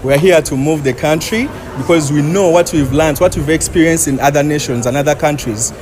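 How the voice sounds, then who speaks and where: A man's voice: fairly high pitch, a little fast. A young man with a Ugandan accent speaking earnestly and formally as spokesman for a group of graduates. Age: young adult. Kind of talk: informal spoken address to media. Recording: outdoor crowd and street sounds.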